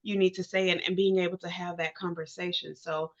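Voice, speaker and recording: A woman's voice: lowish voice, flat delivery, fairly quick. A woman from Georgia in the United States hosting a casual interview, speaking informally and affirming her guest. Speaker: interview host. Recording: dull lo-fi audio.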